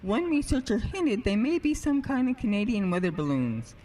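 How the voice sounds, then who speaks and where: A woman's voice: a little high, very animated, moderate pace, dark tone. A woman playing a matter-of-fact local radio newsreader, reading a scripted bulletin within a drama.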